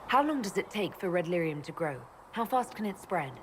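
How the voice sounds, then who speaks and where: An actress playing a composed, direct elf mage heroine in a video game. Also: female voice, on the low side, very animated, brisk. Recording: reverberant room, faint background noise.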